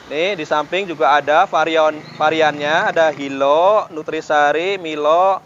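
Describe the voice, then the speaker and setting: A man's voice: fairly high pitch, fairly even intonation, measured pace, a bit bright, muffled recording, a little hiss or hum. A man vlogging from a roadside drink stall, chatting casually with the vendor and prompting him with short questions. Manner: chatty, keeps prompting with "ya, Bang?". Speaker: food vlogger interviewing a vendor. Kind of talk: casual street-food interview.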